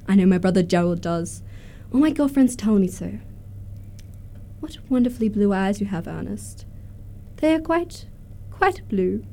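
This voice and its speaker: Woman acting a lively, affected young society lady. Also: mid-range voice, unhurried, long pauses. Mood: gushing, self-assured. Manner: affected, gushing, emphatic. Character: self-assured, romantic young Victorian society lady. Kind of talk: stage-comedy radio acting.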